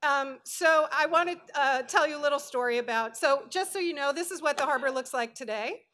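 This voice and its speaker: She is a middle-aged American woman speaking as a professor at a lectern. Her voice sits somewhat high. She lectures like a storyteller, informal and conversational, often drifting into asides before returning to the thread. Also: brisk, pushed and bright. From Hawaii, United States. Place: lectern in a large auditorium.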